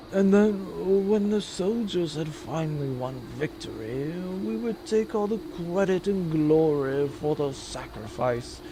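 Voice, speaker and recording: Man doing a slow, doddering old war veteran. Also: on the high side, noisy background. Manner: rambling, wistful reminiscing. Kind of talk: comic sci-fi audio drama.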